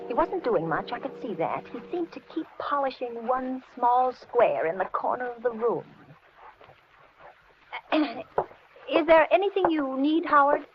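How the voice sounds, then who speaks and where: An actress playing an anxious, polite middle-aged housewife in a 1940s radio thriller, with a hesitant, genteel way of speaking. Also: female voice, a little high. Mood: flustered, nervous. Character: anxious polite housewife and mother, increasingly frightened. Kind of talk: 1940s radio thriller acting with first-person narration. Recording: muffled, noisy vintage radio recording.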